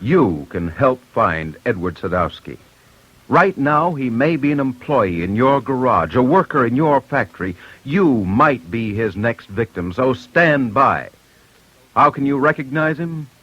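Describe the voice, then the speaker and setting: A man's voice: mid-range voice, sing-song, measured pace, no room sound. A man with an American accent hosting a crime radio show, addressing listeners directly in a punchy, ominous way. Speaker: host of fugitive-hunting radio show. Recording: dull 1940s radio recording.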